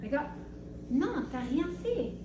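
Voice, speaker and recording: A middle-aged woman giving a spoken Torah class to women, animated, acting out a listener's pleas in little voices. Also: high-pitched, slow. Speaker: Torah teacher. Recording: roomy, distant mic with hiss.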